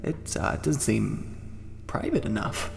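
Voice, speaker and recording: Guy doing a fussy male customer in audio drama, unhurried n halting, pitch all over, faint music behind. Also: big pitch swings, gravelly, darkish tone, husky, heavily acted. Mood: wistful, doubtful. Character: fussy, hesitant male client viewing a secretive property.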